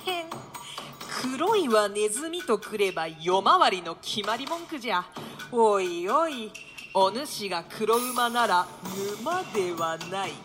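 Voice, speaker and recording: A woman playing a boisterous, mocking young gentleman in a radio drama, declaiming archaic lines with bantering flamboyance. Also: mid-range voice, very animated, slow, music in the background. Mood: playful urging.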